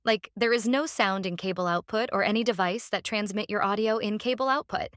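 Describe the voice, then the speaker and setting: Woman doing casual software tutorial voiceover, mid pitch, kinda halting step by step, dry no echo. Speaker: tutorial presenter.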